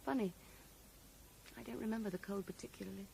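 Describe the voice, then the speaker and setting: A woman's voice: mid-range voice, quick pace, gravelly, warm tone. An actress playing a grieving, guarded and bitter woman in a radio drama, wistful yet curt with her questioner. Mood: wistful recollection. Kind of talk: 1980s radio drama acting. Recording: noisy 1980s radio broadcast recording.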